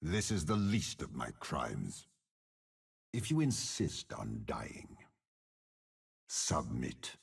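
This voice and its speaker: An actor voicing a commanding, sinister old general in a video game, with a gravelly rasp and a slow, imperious, menacing delivery. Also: male voice, extremely low pitch, very animated, heavily acted. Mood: ruthless. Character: commanding, sinister old male warlord general, coldly suave. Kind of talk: video game character voice lines.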